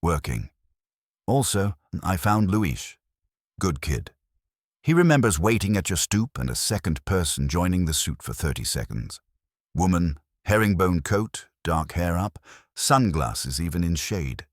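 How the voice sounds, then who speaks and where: Guy doing a clipped businesslike private eye in an audiobook, really low voice, dry sound. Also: very animated, unhurried, no echo, heavily acted. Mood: matter-of-fact. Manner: clipped, matter-of-fact reporting. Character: terse, businesslike male private investigator.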